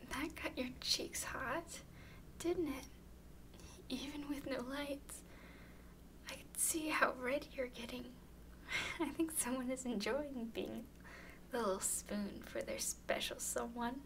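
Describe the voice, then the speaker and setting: A woman playing a tall, clingy, playfully teasing young woman smitten with her friend, in an intimate, softly whispered ASMR roleplay. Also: high voice, slow, pauses often, full character voice. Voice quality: soft, breathy whispering. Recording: faint background noise.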